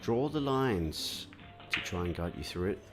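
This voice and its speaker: A man from Denmark commenting live on a pool match, explaining shots in a relaxed way and answering viewer comments, with frequent fillers. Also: low voice, measured pace, loud music behind the voice.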